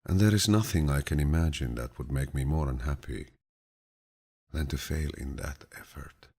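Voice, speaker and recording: A man reading an audiobook of quotations slowly in a deep, low, husky voice, with a calm, soothing, grandfatherly manner. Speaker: audiobook narrator. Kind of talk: slow scripted audiobook narration of quotations.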